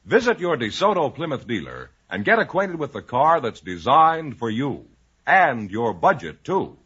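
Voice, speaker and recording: A man's voice: mid-range voice, sing-song, moderate pace, fairly bright tone, husky. A man announcing on 1950s radio in an emphatic, polished commercial style. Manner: emphatic, polished sales delivery. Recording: muffled 1950s radio broadcast recording.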